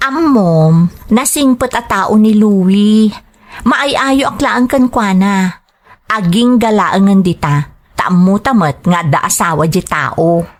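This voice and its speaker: A woman's voice: mid-range voice, takes it slowly, lightly acted. A woman with an Ilocano Filipino accent playing a flirtatious office worker in a drama. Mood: casual, defensive. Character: flirtatious playgirl office worker pursuing a married male colleague. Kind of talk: Ilocano radio-style drama acting.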